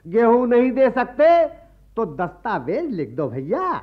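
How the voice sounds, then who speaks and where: An actor playing a greedy, wily priest and moneylender in a radio play, sanctimonious and wheedling. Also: male voice, very high-pitched, sing-song, a little slow, exaggerated voice. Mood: scornful, pressing. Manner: sanctimonious, wheedling, repeats a pious catchphrase. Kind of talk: Hindi radio play acting. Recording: muffled archival radio play recording.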